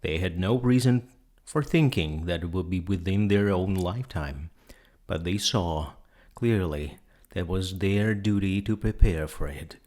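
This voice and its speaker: A man reading a novel aloud as a storyteller, with measured, theatrical phrasing. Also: deep voice, a little mellow. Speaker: audiobook narrator. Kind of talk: dramatic scripted narration.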